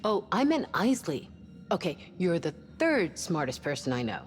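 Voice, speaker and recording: Spaceship doc, lowish voice, caring n a bit teasing, big echoey hall, video game. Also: female voice, big pitch swings, normal speed. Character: reassuring, teasing female ship's doctor. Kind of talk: cinematic sci-fi game acting. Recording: long hall reverb, faint music behind.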